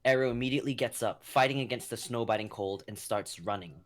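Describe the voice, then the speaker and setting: A man's voice: medium pitch, quick pace. A young man narrating a scripted drama in the present tense as the reader of stage action. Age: young adult.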